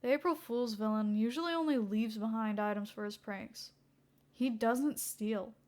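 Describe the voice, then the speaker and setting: A woman's voice: a little high, normal speed, darkish tone, close to an everyday voice. A woman voicing a dogged, deadly serious male police detective in a story, stern and matter-of-fact. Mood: stern, earnest.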